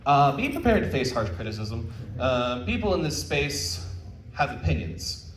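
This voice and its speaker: A man's voice: mid-range voice, big pitch swings, a little slow. A man speaking as a panelist at a convention, informal and conversational, with frank encouragement. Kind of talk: informal convention talk with audience questions. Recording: roomy, distant mic, faint background noise.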